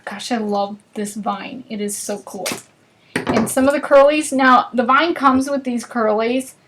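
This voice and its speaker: A woman with a northern US accent hosts a live card-making class. She talks in a chatty, bubbly way and chats with viewers while she works. Her voice sits a little higher than most. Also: measured pace, background noise. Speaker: craft class host. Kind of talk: live crafting demonstration.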